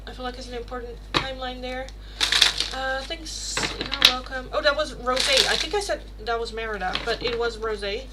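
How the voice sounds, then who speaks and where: A woman's voice: high-pitched, background noise. A young woman with a Dutch Rotterdam accent, doing a casual livestream tarot reading and rambling through chat questions with lots of fillers. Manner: chatty, rambling, many fillers and self-corrections.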